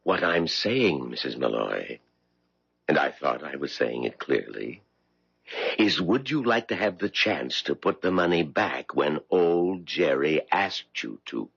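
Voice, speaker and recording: A cool all-knowing stranger, super low raspy voice, deliberate, old muffled radio play. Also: male voice, sing-song, fairly bright tone, no room sound, heavily acted. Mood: insistent. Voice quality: gravelly. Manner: deliberate, insistent. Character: mysterious, all-knowing stranger, coolly probing tempter. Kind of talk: 1970s radio drama acting. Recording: dull vintage radio broadcast recording.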